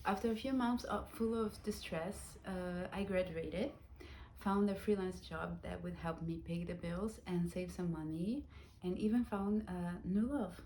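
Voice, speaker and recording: A woman in her thirties from Brazil, telling her own life story in a reflective, soft-spoken vlog, with Portuguese as her first language. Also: on the low side, a little slow, slight background noise. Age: 30s. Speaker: vlogger.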